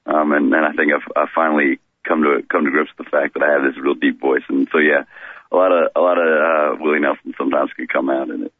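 A man with a remarkably deep voice speaks as a radio guest, easing through his answers with fillers and restarts. The sound comes down a dry, tinny phone line. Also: no echo. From Florida, raised in rural Maryland. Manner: relaxed, unhurried, with many fillers and restarts. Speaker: touring musician guest.

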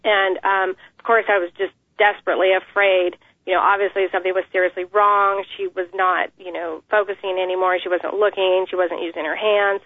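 A woman in an interview, giving an earnest, measured account of her family with many pauses. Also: medium pitch, level tone, no echo. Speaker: interview guest, mother. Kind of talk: phone interview, personal account. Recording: tinny phone line.

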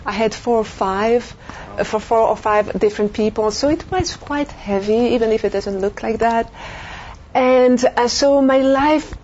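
A woman's voice: a little high, a little slow. Woman, lots of uhs, kinda muffled recording, faint hiss. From Belgium. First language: French. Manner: hesitant, many "uh" fillers, soft trailing off. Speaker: interview guest. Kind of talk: recorded interview.

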